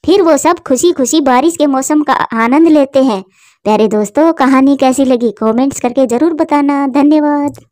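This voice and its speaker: A woman's voice: sits high, moderate pace, darkish tone. A woman reading scripted narration for a children's bird story as its narrator.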